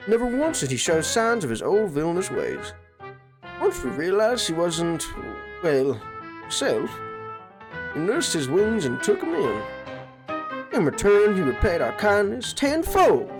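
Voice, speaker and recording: A performer plays a dignified, compassionate village leader from a cartoon. The delivery is polite, formal and earnest, with lively pitch movement over clearly audible music. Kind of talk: comic book fan dub cartoon acting.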